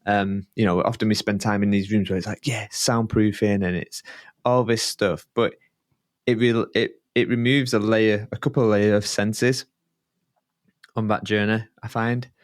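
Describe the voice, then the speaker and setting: A man interviewing a guest on a podcast, his voice pitched somewhat low. He speaks warmly and loosely, stopping and restarting his phrases. The recording is dry and close. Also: fairly even intonation, moderate pace, dry recording. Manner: friendly and hesitant, with fillers and half-started phrases. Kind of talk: casual interview chat.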